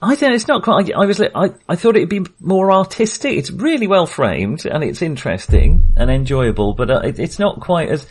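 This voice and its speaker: A man's voice: on the high side, expressive, fairly quick, few pauses, husky. A man in a casual film discussion, thinking aloud in a hesitant way and correcting himself as he goes. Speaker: film podcast host.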